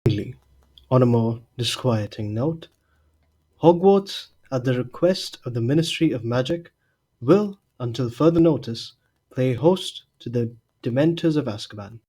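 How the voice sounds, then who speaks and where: A man playing an aged headmaster wizard in an audio drama, genial yet grave, giving measured formal oratory in a husky voice. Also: mid-range voice, animated, dark tone. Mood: grave, warning. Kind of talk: fan audio drama, formal speech to a hall.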